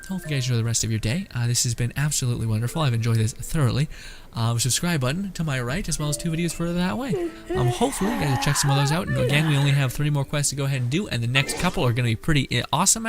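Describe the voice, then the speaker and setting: A young man giving casual, rambling gameplay commentary as a streamer, thinking out loud. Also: medium pitch. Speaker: game streamer.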